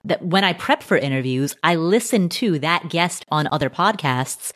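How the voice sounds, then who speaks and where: A woman hosting a podcast, chatting casually, engaged and agreeable, and lively when she quotes someone. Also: deep voice, moderate pace. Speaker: podcast host. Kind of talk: casual conversational chat.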